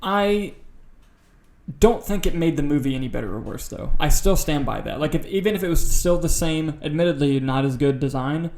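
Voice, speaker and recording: Higher-voiced man with a creaky, mellow tone. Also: animated, normal speed. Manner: sweary, opinionated, informal. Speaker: podcast co-host. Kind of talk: casual film-news chat.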